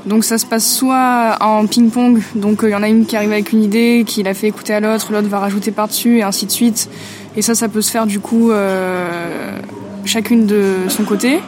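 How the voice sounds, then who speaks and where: A woman's voice: mid-range voice, steady tone, normal speed, a little mellow. Chatty woman musician, faint noise. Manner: chatty, frequent fillers. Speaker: musician in a duo. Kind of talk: casual interview answers.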